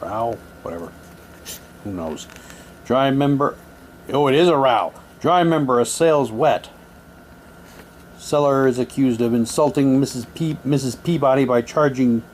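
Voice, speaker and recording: A man casually reading printed material aloud in a halting way, with muttered asides to himself. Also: mid-range voice, darkish tone, slight background noise. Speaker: unboxing presenter. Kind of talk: casual unboxing, reading old newspaper props aloud.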